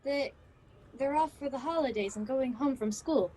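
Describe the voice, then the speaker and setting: A woman playing an excitable female miser recalling childhood, in a theatrical radio play recorded over a video call. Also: high voice, normal speed, noisy background, lightly acted. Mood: excited, nostalgic.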